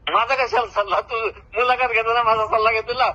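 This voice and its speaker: Muffled high-pitched, sharp-voiced older Maharashtrian man. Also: flat delivery, brisk. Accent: Marathi, Maharashtra. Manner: boastful, confrontational, talks at length. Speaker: retired government officer. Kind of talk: heated informal phone conversation. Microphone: phone call. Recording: muffled recorded phone call.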